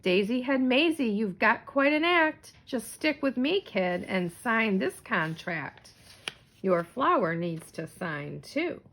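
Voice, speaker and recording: Lady doing a slick wheeler-dealer agent guy from a kids book, flattering, pitch swooping around. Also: slow pace, heavily acted. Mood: pushy, coaxing. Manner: smooth-talking sales pitch.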